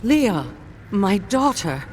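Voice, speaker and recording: A woman's voice: mid-range voice, very animated, drawn-out words, a little mellow, faint music behind. An actress playing a scheming witch mother in a video game cutscene, solemn and persuasive.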